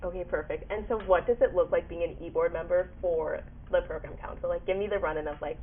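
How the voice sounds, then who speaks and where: A woman's voice: on the low side, fast, breathy edge. A young woman hosting a podcast in an effusive, peppy manner, moving between prepared introductions and casual interview talk. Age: young adult. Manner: effusive, peppy, frequent backchannels. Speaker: podcast host. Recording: muffled phone-like, radio-like sound.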